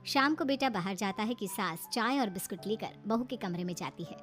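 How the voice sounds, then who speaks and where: A woman's voice: medium pitch, lively intonation, fairly quick, soft background music. A woman narrating a scripted moral family story as its storyteller.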